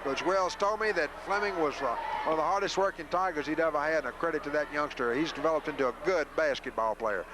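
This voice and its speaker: A high-voiced man gives live basketball play-by-play for local radio. His delivery is folksy and partial to the home side. Clear background noise from a gym runs under the old 1980s broadcast recording. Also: quick pace.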